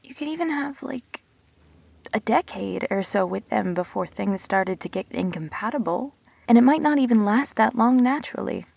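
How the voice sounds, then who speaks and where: A woman acting an earnest, guarded immortal best friend in an audio drama, speaking in measured, reflective phrases with pauses. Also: a little high, animated, creaky, darkish tone, husky, no room sound, full character voice. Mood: earnest, persuasive.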